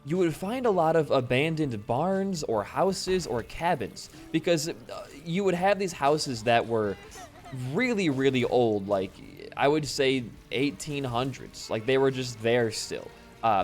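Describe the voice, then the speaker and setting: A laid-back young man from Wisconsin, a bit hoarse after losing his voice, rambling casually while commenting on his gameplay. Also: mid-range voice, expressive, unhurried. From Wisconsin, USA. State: slightly hoarse from voice loss. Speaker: gaming channel host. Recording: faint game music behind.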